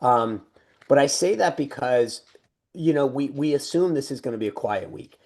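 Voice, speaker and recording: A middle-aged man co-hosting a casual political chat, talking loosely with many restarts and quick laughs. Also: medium pitch, expressive, darkish tone. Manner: chatty, full of restarts and laughs. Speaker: podcast co-host.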